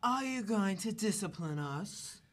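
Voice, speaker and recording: A man voicing a bossy, scheming young daughter of a mafia boss in a falsetto voice. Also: lively intonation, a little slow.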